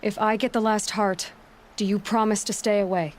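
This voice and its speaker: A woman's voice: mid-range voice, fairly even intonation, moderate pace, natural voice. A woman playing a determined, principled young hunter in a video game cutscene, direct and firm. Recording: clear background noise, game ambience.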